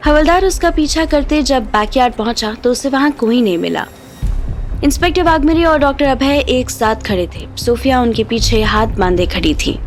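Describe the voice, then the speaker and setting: Slightly high-pitched woman narrating a drama. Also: expressive, normal speed. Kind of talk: scripted audio drama narration. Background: background music.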